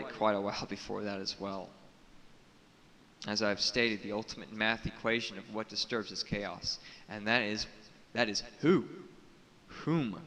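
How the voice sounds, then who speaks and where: A man giving an unscripted spiritual monologue to camera as a video blogger, speaking haltingly and solemnly in cryptic phrases, repeating words for emphasis. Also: lowish voice, fairly bright tone. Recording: echoey reverberant room with audible hiss.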